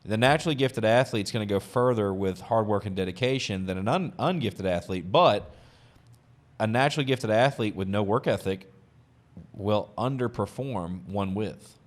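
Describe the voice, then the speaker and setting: A man with a fairly low voice hosts a casual business podcast. He talks emphatically, hammering points by repeating them. He speaks into a podcast microphone. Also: moderate pace. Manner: emphatic, repeats key phrases. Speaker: podcast host.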